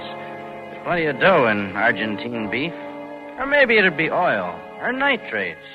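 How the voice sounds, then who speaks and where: A man's voice: mid-range voice, natural voice. An American actor playing a cold, scheming embezzler in his thirties, telling his crime in brooding, slangy 1940s radio noir style. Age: 30s. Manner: hard-boiled, slangy, brooding. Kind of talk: 1940s radio noir acting, first-person narration. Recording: muffled old radio broadcast, music behind.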